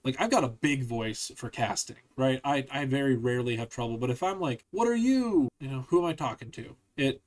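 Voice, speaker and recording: A man hosts a casual chat, his voice sitting in an ordinary middle range. He talks in an animated, opinionated way and pokes fun at himself along the way. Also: mid-range voice, a little fast, few pauses. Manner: animated, opinionated, self-deprecating. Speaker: podcast host.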